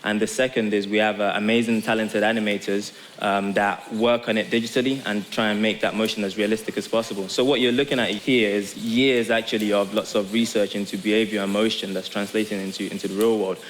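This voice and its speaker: A man from Nigeria speaking as a guest in a live on-stage interview, pausing often as he explains. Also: on the low side, flat delivery. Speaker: guest tech company founder. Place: theatre stage before an audience. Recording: live stage, clear hiss noise.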